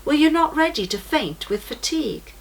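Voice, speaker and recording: A woman's voice: sits high, sing-song, moderate pace, gravelly, voice pushed up, heavily acted. A woman voicing a kindly, admiring male warrior guide in a children's storybook. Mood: concerned. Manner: concerned questioning and warm praise. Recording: noticeable background noise.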